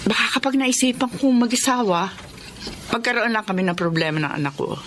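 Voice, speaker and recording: A woman's voice: on the high side, expressive, a little fast, fairly bright tone, a little hiss or hum. An actress playing a protective, worried middle-aged mother in a Filipino radio drama, with an earnest, concerned delivery. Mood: wistful, reserved.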